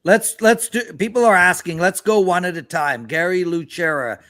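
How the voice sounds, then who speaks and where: A man hosting a live sports betting show, talking in a quick, excitable way. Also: sits high. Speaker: host.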